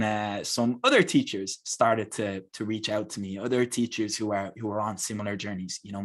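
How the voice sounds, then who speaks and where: Man, guest speaker, video call, fairly low voice, earnest, enthusiastic storytelling. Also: fairly bright tone, slightly rough. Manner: earnest, enthusiastic, repeats words when restarting. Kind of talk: personal story on a webinar.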